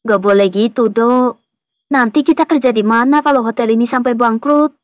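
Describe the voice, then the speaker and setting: A woman's voice: a little high, moderate pace, no echo, highs cut off, lightly acted. A woman voicing a meek, apologetic young hotel waitress in a cartoon. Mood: subdued, pleading. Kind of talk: cartoon dialogue.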